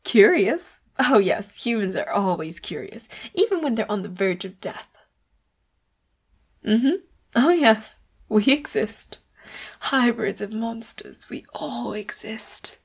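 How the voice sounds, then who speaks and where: A breathy, fairly high female voice for a seductive leopard-hybrid boss in an intimate audio roleplay, played by a woman. She speaks very slowly and often slips into whispers, coy and teasing, dangerous yet tender toward the listener. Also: expressive, heavily acted. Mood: menacing, teasing.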